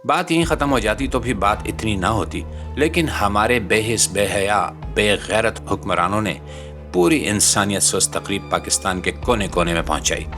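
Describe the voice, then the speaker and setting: A man's voice: lowish voice, animated, normal speed, music in the background. A man from Pakistan with a Pakistani accent delivers scripted political commentary in an indignant, dramatic, rhetorical way, using formal literary wording. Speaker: commentator, channel presenter.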